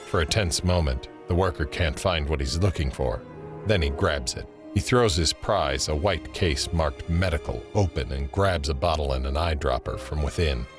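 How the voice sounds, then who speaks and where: A man narrating scene directions in a scripted audio drama, grave and measured. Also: very deep voice, music in the background. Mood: measured, suspenseful.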